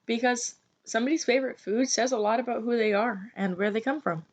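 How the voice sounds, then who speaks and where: A woman's voice: on the high side, steady tone, brisk. A young American woman hosting a podcast, chatty and enthusiastic in casual talk. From United States. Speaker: podcast host. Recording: dull lo-fi recording.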